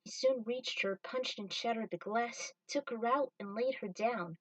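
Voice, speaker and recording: A woman's voice: a little high, measured pace, no echo, recorded from a distance, rough recording. A woman reading scripted story narration, action scenes told in prose. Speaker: narrator and reader of a fan fiction story.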